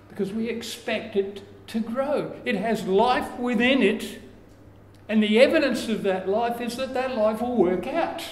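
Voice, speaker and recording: An older man with an Australian accent preaching a sermon, slow and measured, his phrases falling away at the ends. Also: high voice. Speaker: pastor. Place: church room with curtains. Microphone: lapel mic. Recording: echoey church room, faint background hiss.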